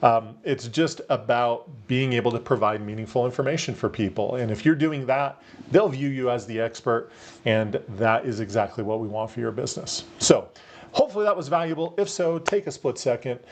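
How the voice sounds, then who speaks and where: A man with a deep radio-type voice, speaking as a marketing coach in a casual, persuasive talk to camera, full of fillers. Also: brisk. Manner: upbeat, persuasive, many fillers. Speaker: marketing coach for real estate agents.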